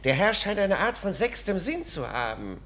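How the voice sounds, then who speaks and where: An actress playing a mocking, ominous court attendant in a radio play, sardonic and mock-courteous. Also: female voice, mid-range voice, very animated, moderate pace, a bit bright. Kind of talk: German radio play acting. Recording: faint background noise.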